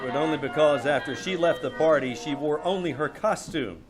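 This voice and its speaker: Male actor as flirty hard-boiled radio detective, wry narrator, higher-pitched voice, live stage sound with music under. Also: normal speed, natural voice. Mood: wry narrating. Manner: wry, breezy first-person storytelling. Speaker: amateur radio troupe actor. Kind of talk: old-time radio detective drama. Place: small-town theater stage.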